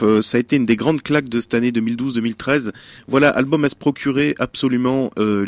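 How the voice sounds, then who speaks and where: A man hosting a community radio music show, his voice a little hoarse. He talks in a relaxed, rambling way, pausing often as he enthuses about records and upcoming gigs. The sound is close and dry, with no room around it. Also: medium pitch, no room sound. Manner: relaxed, many hesitations, enthusiastic music talk. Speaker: radio DJ host. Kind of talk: casual radio back-announcing.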